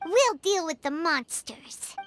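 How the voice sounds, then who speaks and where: A very high, childlike little fairy girl for a video game. She is chirpy and concerned, with swooping, unhurried delivery. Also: animated, fairly bright tone, no echo, exaggerated voice. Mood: curious, sympathetic.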